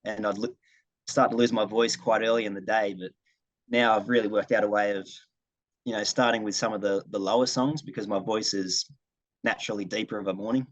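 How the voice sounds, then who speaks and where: Low-voiced young Australian man. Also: steady tone, brisk, dry recording, lo-fi sound. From regional New South Wales, Australia. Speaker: singer-songwriter, guitarist being interviewed. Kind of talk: casual interview answers. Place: video call, dry room. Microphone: video call.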